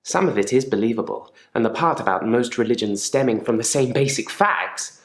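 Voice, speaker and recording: A man's voice: mid-range voice, lively intonation, fairly quick, natural voice. A man playing a troubled gravedigger in therapy for a video game, speaking in a guarded, dryly sardonic, articulate way. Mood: matter-of-fact, musing. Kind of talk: naturalistic acted monologue in therapy session. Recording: echoey room.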